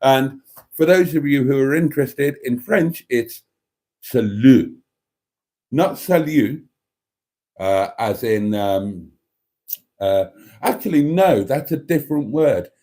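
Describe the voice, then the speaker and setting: A man's voice: mid-range voice, lively intonation, slow pace, pauses often, mellow. A man hosting a casual livestream, reading out viewer comments in a chatty, cheeky way and calling his audience darlings. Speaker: livestream host. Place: indoors at home, chilly room.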